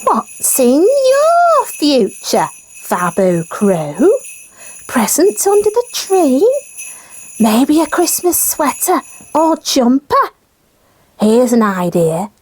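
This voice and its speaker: A playful young puppet co-host with a foreign accent. The voice is very high and wildly animated, with words drawn out very slowly. It sounds like children's comedy news. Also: heavily acted, low-quality recording. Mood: bright, informative. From Caracas, Venezuela.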